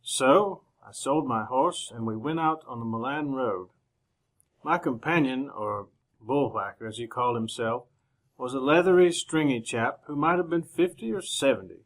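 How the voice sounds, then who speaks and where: An actor playing a polite, reserved gentleman reporter in an old-time radio play. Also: mid-range voice, normal speed, lightly acted. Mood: measured narration.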